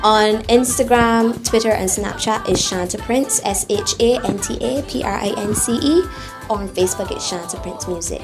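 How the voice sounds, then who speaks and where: A woman's voice: medium pitch, moderate pace, over music. A woman singer with a Barbadian accent, chatting casually in an interview and telling stories with a laugh. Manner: lively, laughing storyteller.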